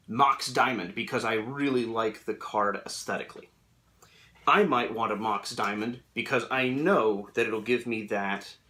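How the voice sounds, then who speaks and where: A man's voice: mid-range voice, fairly bright tone. A young man in a room at home, talking thoughtfully about collecting cards while he sleeves them, rambling a little with plenty of fillers. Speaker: Magic: The Gathering YouTuber. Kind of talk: casual reflective monologue.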